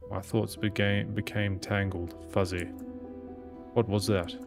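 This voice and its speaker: A man's voice: on the low side, creaky. A man reading a visual novel's narration and dialogue aloud as a gaming host.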